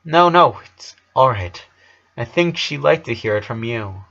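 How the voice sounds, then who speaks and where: A man voicing an earnest young man who speaks haltingly and consoles a boy in a story reading. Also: mid-range voice, expressive, creaky, fairly bright tone, muffled recording. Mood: gentle.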